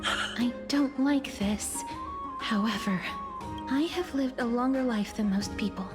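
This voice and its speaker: A woman playing an earnest, gentle, solemn young-sounding female demigod in an anime-style video game, speaking formally and a little hesitantly. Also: fairly high pitch, measured pace, faint music behind, close to an everyday voice.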